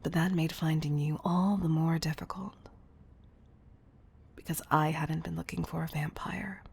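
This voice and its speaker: A woman playing a wealthy, obsessive CEO in an intimate audio roleplay, speaking in a breathy, gravelly murmur that is affectionate and confessional. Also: low-pitched, fairly even intonation, measured pace, darkish tone, full character voice. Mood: confiding, possessive. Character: wealthy obsessive female CEO, tender yet controlling. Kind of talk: intimate audio roleplay monologue, partly whispered.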